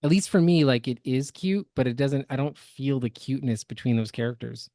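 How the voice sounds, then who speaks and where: Male podcast co-host, casual and measured, picking his words, close dry studio sound. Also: medium pitch, dark tone, no echo. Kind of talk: casual critical discussion.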